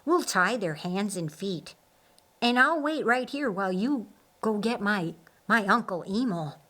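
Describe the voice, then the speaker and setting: A woman's voice: medium pitch, expressive, unhurried, a bit bright. Practical, loyal young watchman for an audiobook female reader in the part, resolute, plain-spoken. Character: loyal, practical young man standing guard.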